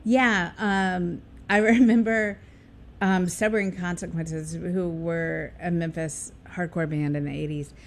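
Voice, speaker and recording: A woman's voice: on the low side, fairly even intonation, a little slow. A woman, a radio guest talking casually with many fillers and restarts.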